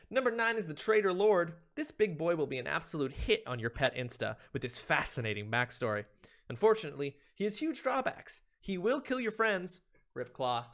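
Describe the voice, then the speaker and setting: A man's voice: on the high side, a bit bright. A man hosting a stream, giving a playful, theatrical reading of a comedic ranked list with emphatic delivery. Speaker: streamer, host.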